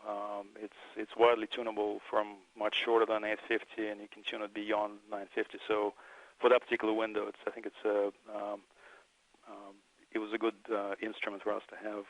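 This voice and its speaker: A man with a lowish, very even voice answers questions as an engineer on a webinar. He is measured and halting, often searching for his words. The sound is dry and a bit dull, like an online call. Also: dry recording. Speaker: presenting research engineer.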